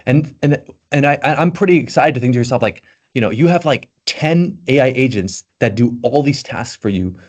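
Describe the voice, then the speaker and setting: A man's voice: medium pitch, animated, normal speed, darkish tone. A man from Belgium with an American accent, chatting eagerly as a podcast guest and filling his talk with "like". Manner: loose and eager, heavy on fillers like "like". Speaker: guest, tech content creator. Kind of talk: casual podcast chat.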